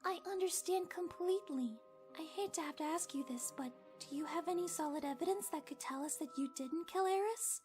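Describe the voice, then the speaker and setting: A woman voicing a sharp, playful young detective in an animated murder-mystery parody. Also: very high voice, fairly quick, faint music behind. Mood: polite, probing. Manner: teasing, inquisitive.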